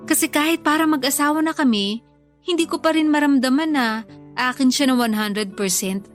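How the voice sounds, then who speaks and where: A woman's voice: high voice, fairly quick, soft background music, natural voice. An actress playing an insecure but devoted young woman in love in a radio drama. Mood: troubled, jealous. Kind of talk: Tagalog radio drama acting.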